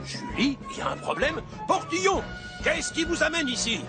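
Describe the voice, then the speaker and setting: An actor playing a jolly, sentimental older fire chief in a French cartoon dub, with animated, theatrical delivery. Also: male voice, extremely high pitch, normal speed. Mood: excited. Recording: music behind the voice.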